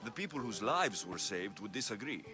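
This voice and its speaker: An actor playing a blunt, dryly sardonic, impatient Greek mercenary hero in a video game. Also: male voice, on the low side, big pitch swings, normal speed. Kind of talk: video game cutscene acting. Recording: music behind the voice.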